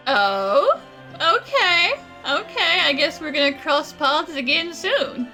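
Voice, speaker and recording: A woman's voice: high voice, animated, slow pace, sharp tone, soft background music, rough recording. A woman giving casual reaction commentary while she plays a video game. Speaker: gaming streamer.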